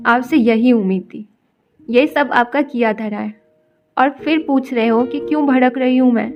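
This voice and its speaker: An actress voicing a patient, hurt young wife in an animated story, talking emotionally and pleadingly. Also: female voice, a little high, normal speed, lo-fi sound, close to an everyday voice. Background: background music.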